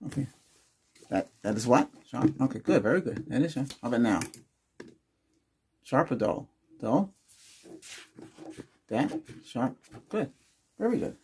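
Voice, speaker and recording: A man's voice: mid-range voice, sing-song, measured pace, pauses often, darkish tone, a little hiss or hum. An older man doing an ASMR doctor roleplay, giving short instructions and asking brief questions as in an exam. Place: small indoor room with cloth backdrop.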